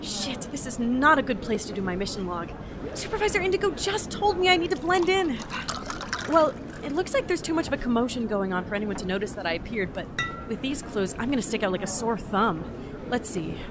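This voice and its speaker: Woman acting chatty young-sounding agent, swooping high voice. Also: expressive, fairly quick, noisy background, lightly acted. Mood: flustered. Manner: chatty, self-deprecating, hesitant. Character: eager, flippant, anxious interdimensional agent reporting mission logs. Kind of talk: audio drama acting. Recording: musical backing. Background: music.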